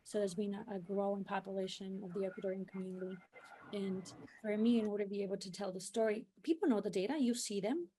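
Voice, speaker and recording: A woman's voice: mid-range voice, fairly even intonation, fast talker, dark tone, a little hiss or hum, poor audio. A woman raised in New York City, a youth civic organizer answering on a panel in an earnest, explanatory way with many fillers and restarts. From New York City, United States.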